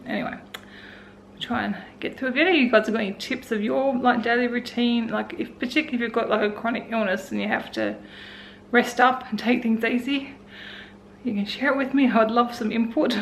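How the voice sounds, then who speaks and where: An Australian woman in her forties with a croaky voice, talking casually and wearily through her day at home in a vlog. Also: a little high, steady tone, fairly quick, a little hiss or hum, rough recording. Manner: tired, rambling, self-talking. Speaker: vlogger. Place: indoors at home.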